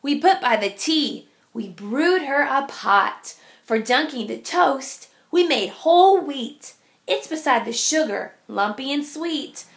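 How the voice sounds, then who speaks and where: Slow, high-voiced woman reading to children. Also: animated. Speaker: teacher reading aloud. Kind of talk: picture-book read-aloud for young children.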